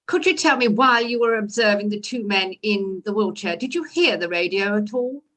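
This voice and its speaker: Woman acting female lawyer, medium pitch, polite, businesslike, courtroom drama table read. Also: moderate pace, fairly bright tone, natural voice. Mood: polite, probing. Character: polite, businesslike female counsel for a port authority.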